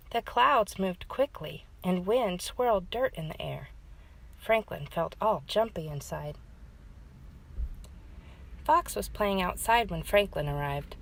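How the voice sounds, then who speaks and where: Slow, animated woman reading to children. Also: mid-range voice, vocal fry, rough recording. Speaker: children's story time host and reader. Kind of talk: storybook read-aloud narration for children.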